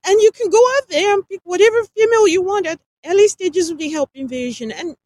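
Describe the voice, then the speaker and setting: A woman reading aloud as a panicked female doctor who speaks high, breathless and near tears. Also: lively intonation, brisk, a little mellow. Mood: desperate.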